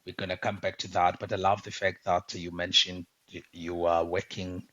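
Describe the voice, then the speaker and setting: Host: South African man, low bright voice, dry home sound, chatty with lots of fillers. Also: level tone, moderate pace, no echo, lo-fi sound. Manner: chatty, many fillers and restarts. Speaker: podcast host. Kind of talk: casual video-call interview. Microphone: video call.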